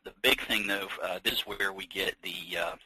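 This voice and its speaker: An American man leading an informal software training webinar, explaining things conversationally with practical examples. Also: low voice, fairly even intonation, normal speed, breathy edge, rough recording. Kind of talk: informal software demonstration, unscripted walkthrough.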